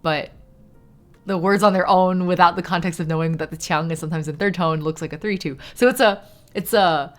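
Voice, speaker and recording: A woman's voice: on the low side, a little fast, faint music behind. A woman, a Shanghainese speaker, chatting casually while she crafts on stream. She explains things at length, with lots of "like" and some casual swearing.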